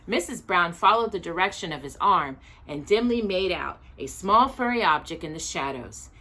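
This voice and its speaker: A woman reading a children's book aloud in an expressive, deliberate way with drawn-out emphasis. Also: on the low side. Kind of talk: expressive read-aloud children's story narration.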